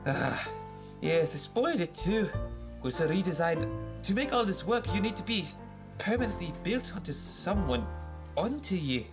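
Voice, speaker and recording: An eccentric, gallant scientist , acted out for a radio serial, speaks in a theatrical and wry way. The pitch swoops about in a middle register, with music playing behind. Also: very animated, moderate pace, close to an everyday voice. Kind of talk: old-time radio serial acting.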